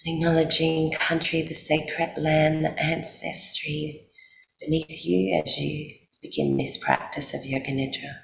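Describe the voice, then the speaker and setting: Very slow, low-voiced Australian woman guiding meditation. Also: level tone, creaky. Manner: soothing, unhurried, with long pauses. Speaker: yoga nidra guide. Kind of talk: guided meditation for sleep. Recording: roomy echo, faint background noise.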